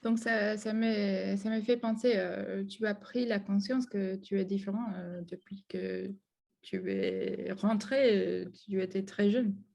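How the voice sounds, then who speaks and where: A woman asks her questions slowly and hesitantly, restarting often. Her voice has a gravelly edge, and her pitch sits in a middle range. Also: steady tone, a little mellow. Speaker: interviewer. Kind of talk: student research interview.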